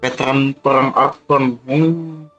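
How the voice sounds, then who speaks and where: A man's voice: mid-range voice, steady tone, unhurried, fairly bright tone, dull audio, soft background music. A man with an Indonesian accent giving casual gameplay commentary in short, offhand reactions. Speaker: gaming YouTuber.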